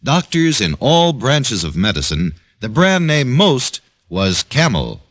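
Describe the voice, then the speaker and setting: A man's voice: mid-range voice, big pitch swings, slow pace, a bit bright, no echo, studio quality. A man reading a scripted mid-century radio cigarette-style commercial as an announcer. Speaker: advertising announcer.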